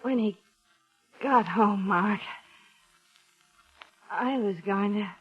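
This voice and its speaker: Anguished, very slow radio-melodrama wife. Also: female voice, medium pitch, breathy edge. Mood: bitter, trembling confession. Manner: breathless, halting, intense. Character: anguished, bitter wife confessing murderous hatred. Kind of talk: 1950s radio melodrama acting. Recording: dull vintage radio recording, music behind.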